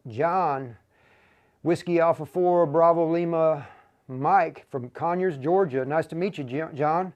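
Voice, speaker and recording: An American man hosting a livestream in a casual, folksy, informal way, talking in a halting flow. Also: on the high side, a little mellow, muffled recording. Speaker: livestream host for a radio equipment seller.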